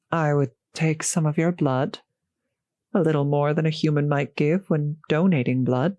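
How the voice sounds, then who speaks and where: Slow, genteel female vampire, acted by a woman. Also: low-pitched, darkish tone, dry recording, studio quality. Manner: polite, formal, old-fashioned phrasing, solicitous. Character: courteous, genteel female vampire, gently seductive host. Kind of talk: intimate audio roleplay addressed to the listener.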